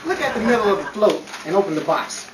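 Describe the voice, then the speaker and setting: A man playing an excitable, wisecracking boyfriend in a 1950s-style old-time radio comedy. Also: sits high, quick pace. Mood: excited, teasing. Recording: roomy, distant, noisy with crowd behind.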